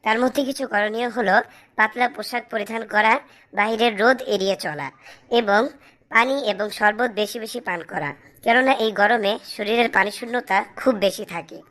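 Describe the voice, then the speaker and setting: A woman's voice: medium pitch, normal speed, a bit bright, close to an everyday voice. A woman playing an earnest schoolteacher in a cartoon, explaining and advising children. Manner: instructive, explanatory. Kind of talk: didactic cartoon dialogue.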